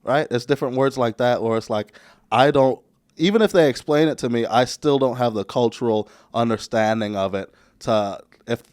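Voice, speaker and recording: A man with a Texan accent, a comedian guest on a podcast, chatting casually in a laid-back, halting way and laughing often. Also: medium pitch, a little mellow, no room sound, studio quality. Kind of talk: casual chat.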